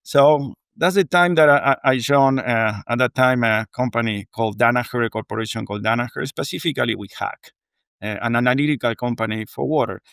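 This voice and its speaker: Argentine-accented man, smooth clear voice. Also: medium pitch, fairly quick, fairly bright tone, no echo, studio quality. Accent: Argentine Spanish. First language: Spanish. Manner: earnest, reflective, frequent "you know". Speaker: guest, water technology executive. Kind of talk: conversational interview.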